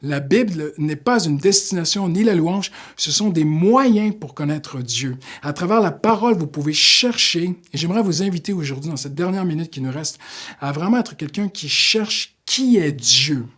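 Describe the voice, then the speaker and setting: A Canadian man giving a short devotional sermon in an earnest way, with many fillers, slowing down to stress his points. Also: a little high, expressive, a bit bright. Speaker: pastor.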